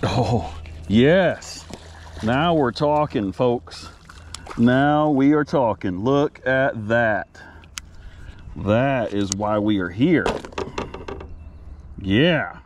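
An American man with a mid-pitched voice talks slowly and casually, like a folksy fishing vlogger addressing his viewers. He is recorded outdoors in a kayak on an action camera, with faint background noise. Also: animated. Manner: folksy, unhurried, talking to viewers while fishing. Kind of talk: casual fishing vlog chat. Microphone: action camera at chest or head.

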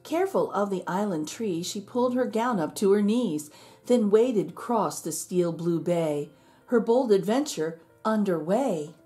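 Woman reading a storybook, mellow and lively. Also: mid-range voice, a little slow, faint music behind. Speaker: storybook reader. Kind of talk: expressive read-aloud of a rhyming children's picture book.

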